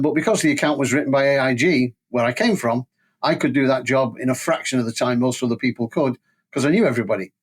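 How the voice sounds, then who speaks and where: An older man speaks with a Manchester English accent at an average pitch. He is a podcast guest recounting his working life in a conversational, storytelling way. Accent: Mancunian English. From England. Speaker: podcast guest, safety training company president.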